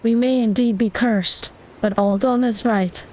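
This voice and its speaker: A man's voice: extremely high pitch, steady tone, unhurried, darkish tone, pitched above the performer's own voice, poor audio. A man voicing an armored woman cleric with a commanding, solemn manner, declaiming her lines. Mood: solemn resolve. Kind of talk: reading game text aloud.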